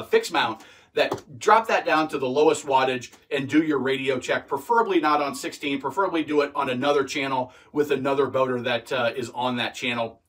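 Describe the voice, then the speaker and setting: A man's voice: medium pitch, fairly bright tone, distant mic. A man giving informal, practical instruction like a boating teacher, repeating key points for emphasis. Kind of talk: informal instructional video talk.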